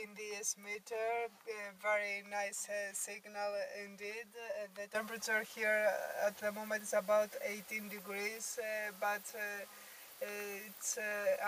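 Even-toned woman on ham radio. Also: mid-range voice. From Greece. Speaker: amateur radio operator. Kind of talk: ham radio contact, procedural call-sign exchange. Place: inside a parked car at night. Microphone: camera in the car, speaking into handheld radio mic. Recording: noisy car interior, distant from microphone.

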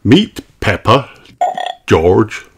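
A woman reads a children's storybook aloud at a very slow pace. Her pitch swings widely as she separates each word with care for early readers, and soft music plays behind her. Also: sing-song. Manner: deliberate, carefully separated words. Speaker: narrator reading a children's book aloud. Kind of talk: slow read-aloud storytelling for young learners.

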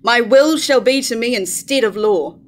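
A woman's voice: fairly high pitch, normal speed, natural voice. A woman in her thirties playing a proud, defiant wife coldly casting off her husband in a staged verse tragedy. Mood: cold defiance. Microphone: stand microphone at lectern.

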